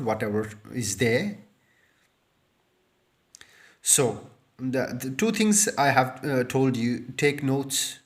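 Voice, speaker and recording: A man in his thirties whose first language is Urdu, giving an unscripted speaking-test lesson into a phone held close, earnest and repetitive, full of fillers and restarts. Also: medium pitch. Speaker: IELTS speaking tutor. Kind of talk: unscripted tutorial monologue to camera. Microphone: phone held close, front camera.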